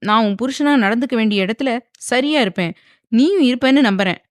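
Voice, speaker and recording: A woman's voice: a little high, animated, a little fast, no room sound, clean studio sound, voice pushed up, lightly acted. A woman voicing a stern young husband who is a village headman, speaking in a firm, lecturing way. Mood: angry.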